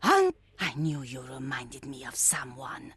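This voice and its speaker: Fussy shopkeeper woman, animated, swooping pitch. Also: unhurried, husky, no echo, full character voice. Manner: fussy, excitable. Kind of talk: video game voice acting.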